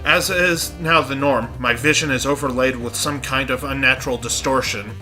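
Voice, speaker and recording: A man's voice: mid-range voice, moderate pace, sharp tone, soft background music. A congested man reading the uneasy inner monologue of a young female student in a game.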